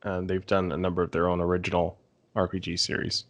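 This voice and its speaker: A man's voice: sits low, monotone, fast. A man talking casually as a panellist in a podcast discussion. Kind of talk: casual chat.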